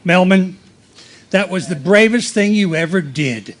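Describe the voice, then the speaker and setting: A man playing a deadpan police lieutenant in a live comic radio play. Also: high voice, measured pace. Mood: earnest.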